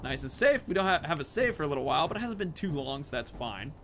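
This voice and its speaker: A man's voice: on the high side, sing-song, quick pace, a bit bright, slight background noise. A man hosting a gaming video, giving casual commentary and thinking aloud about his moves and choices. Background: video game music.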